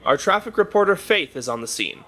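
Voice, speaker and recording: A man's voice: high-pitched, very animated, a little fast, bright, forceful tone, exaggerated voice. A man playing an earnest radio news anchor delivering breaking news in an audio drama. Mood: brisk, official. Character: earnest, oddly priority-confused male radio news anchor.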